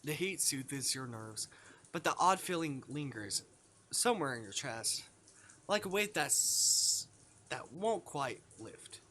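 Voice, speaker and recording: Animated male streamer with a bright, forceful voice. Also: medium pitch, slow, slight background noise. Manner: expressive, sweary, exclamatory reactions. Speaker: live streamer. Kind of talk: visual novel playthrough, reading aloud with casual reactions.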